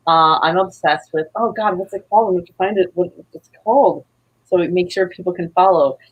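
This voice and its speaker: A woman's voice: on the low side, brisk, husky, narrow, tinny audio. A woman guest chatting casually in an informal, gushing, rambling way. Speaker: guest, playwright and audio drama creator. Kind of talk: casual chat.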